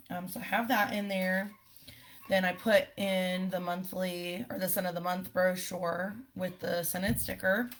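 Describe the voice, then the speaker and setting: A woman losing her voice, chatting casually with many pauses and fillers as she shows how she runs her small business. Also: lowish voice. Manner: chatty, many ums, clears throat often. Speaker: direct sales consultant.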